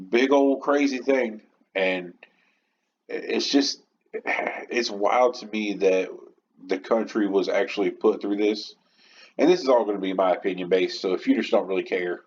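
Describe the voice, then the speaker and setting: Male podcast host, on the low side, casual and opinionated, loosely rambling; muffled home recording with slight noise.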